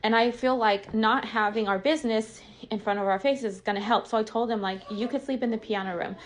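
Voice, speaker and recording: A woman's voice: on the high side, steady tone, brisk. A woman candidly telling a personal story in an emotional, venting way, passionate and emphatic, with "like" peppered all through her sentences. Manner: emotional, venting, says "like" constantly. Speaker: online content creator.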